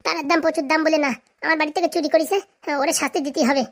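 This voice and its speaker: A performer voicing a cocky young troublemaker in a comedy cartoon, boastful and quick to squabble. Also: sits high, little pitch movement, a bit bright. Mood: earnest, determined.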